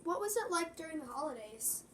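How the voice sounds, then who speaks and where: A woman's voice: extremely high pitch, expressive, a little fast. A young woman interviewing an elder for a school project, tentative and often restarting her questions. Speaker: student interviewer.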